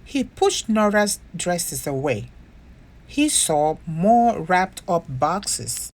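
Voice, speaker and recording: A woman hosting storytime, narrating a children's picture book with expressive, deliberate phrasing for young listeners. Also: on the low side. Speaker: storytime host and reader.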